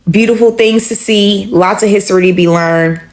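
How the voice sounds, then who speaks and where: A woman's voice: medium pitch, normal speed. A woman vlogger telling a casual, exasperated travel story and filling her speech with "like". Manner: animated, exasperated, heavy use of "like".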